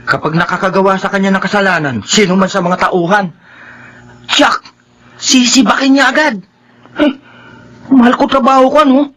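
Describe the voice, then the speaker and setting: A man's voice: sits high, a bit bright. An actor playing a worried worker afraid for his job in a Filipino radio horror drama, with a Filipino accent.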